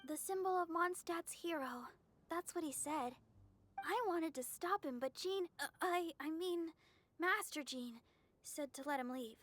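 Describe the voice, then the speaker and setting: A woman voicing a sweet, earnest young female healer in a video game, gentle, polite and a little hesitant. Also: extremely high pitch, a little slow. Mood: uncertain, polite. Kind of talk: video game dialogue acting.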